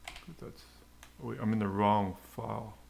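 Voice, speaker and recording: A man giving an unscripted coding tutorial, haltingly muttering to himself as he types. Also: fairly low pitch, fairly even intonation, darkish tone. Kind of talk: unscripted screencast coding tutorial, thinking aloud. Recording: clear background noise, keyboard clicks. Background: typing and mouse clicks.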